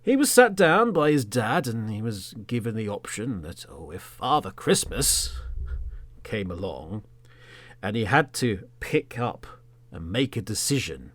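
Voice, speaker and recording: An Englishman in his thirties, a podcast host telling a story in the third person as a monologue, theatrically, with emphatic words and phrases that trail off into a hush. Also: on the low side, very animated, a little slow, no echo.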